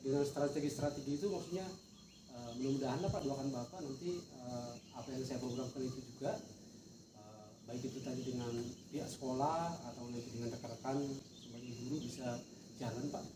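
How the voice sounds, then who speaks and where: A man's voice: medium pitch, fairly quick. A male teacher with a North Sumatran Indonesian accent talks informally in a coaching chat, hesitating and repeating phrases. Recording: distant echoey noisy recording, insect chirps.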